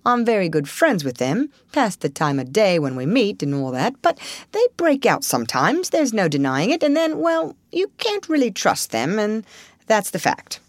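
Storybook water rat , female reader: genial riverside gentleman, talkative and emphatic, very lively pitch movement. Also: moderate pace, no echo. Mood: hesitant, firm. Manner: emphatic, chatty, opinionated. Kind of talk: storybook character reading.

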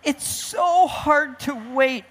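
A woman's voice: sits high, extremely slow, voice pushed up. A woman voicing a gloomy, grouchy storybook panda, grumbling and whining with longing. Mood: impatient longing. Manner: grumbling, whiny.

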